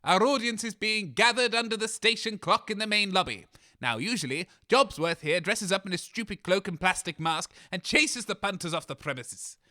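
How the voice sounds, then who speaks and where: A high, very bright British male voice acted by a man for a radio comedy co-host. He is conceited, excitable and mischievous, announcing everything with pompous theatrical flourish. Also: normal speed. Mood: gleefully boastful. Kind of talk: comedy audio drama narration.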